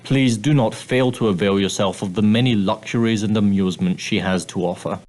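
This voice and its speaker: An actor playing a prim, straight-laced ship's steward in an adventure game, speaking formally with dry, condescending politeness. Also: male voice, lowish voice, fairly quick, a little mellow, natural voice. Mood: formal, courteous. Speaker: steward and guide on an ocean liner. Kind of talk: scripted adventure game character dialogue.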